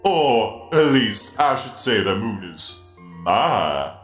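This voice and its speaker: A man's voice: lowish voice, big pitch swings, takes it slowly, fairly bright tone, exaggerated voice. A man voicing a friendly, theatrical, teasing giant robot spider DJ in a cartoon-style fan comic dub. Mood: sincere. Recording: roomy echo, music behind. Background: music.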